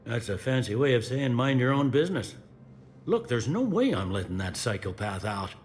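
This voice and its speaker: An actor playing a gruff police commander in a sci-fi game, blunt and wry. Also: male voice, medium pitch, very animated, normal speed, close to an everyday voice. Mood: exasperated. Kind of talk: video game dialogue acting. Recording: faint background noise and ambience.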